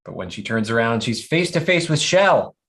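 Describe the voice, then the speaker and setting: A man with a medium-pitched voice reads out scene descriptions for a cartoon comedy script. He narrates the action like a storyteller, sounding amused. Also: sing-song, moderate pace, a bit bright. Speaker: stage-direction reader. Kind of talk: scripted narration at an animated comedy table read.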